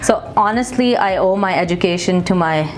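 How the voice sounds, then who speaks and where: A young adult woman from Kuwait answering interview questions in a conversational way, pausing often with fillers and restarts. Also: on the low side, rough recording. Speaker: guest, singer. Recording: faint background noise.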